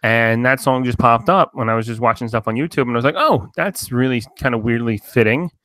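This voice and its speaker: Rambling casual podcast host, dry close mic. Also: male voice, mid-range voice, a little fast, fairly bright tone, no echo. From New Jersey. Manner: rambling, loose, swears freely, lots of fillers.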